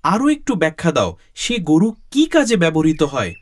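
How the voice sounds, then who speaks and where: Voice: medium pitch, wildly swooping pitch, normal speed. A performer voicing a skeptical, demanding villager who keeps questioning in a cartoon religious story. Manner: insistent, questioning.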